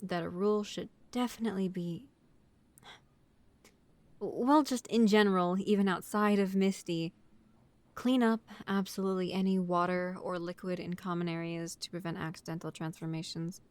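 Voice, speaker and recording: A woman's voice: fairly low pitch, fairly even intonation, moderate pace, a little mellow, lightly acted. A woman playing an exasperated, bossy young vampire college student in a scripted roleplay audio drama, talking in an organized, matter-of-fact way like someone chairing a meeting. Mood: organized, considerate.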